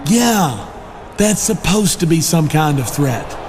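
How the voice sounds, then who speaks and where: Smug, taunting high-voiced wrestler. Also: male voice, very animated, slow, music in the background. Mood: threatening. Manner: taunting, sarcastic. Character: smug, sneering, menacing schemer wrestler. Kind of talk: video game cutscene acting. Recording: clear background noise.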